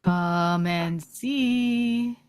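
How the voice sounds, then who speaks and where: A woman's voice: fairly low pitch, very slow, darkish tone, smooth. A woman playing an eerie, insistent soldier who coaxes a comrade in a dramatic table read. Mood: eerie, coaxing. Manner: repetitive, coaxing.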